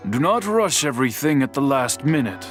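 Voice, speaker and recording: A man playing an old, patient master swordsmith in a video game, speaking in a measured, formal, lecturing way. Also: mid-range voice, very animated, a bit bright, soft background music. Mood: calm. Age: older.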